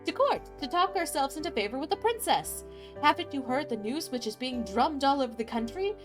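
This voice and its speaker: A scornful elder brother from a storybook , voiced by a woman. The delivery is high and animated, boastful and dismissive, over soft music. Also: a little fast. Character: conceited, scornful elder brothers in a fairy tale.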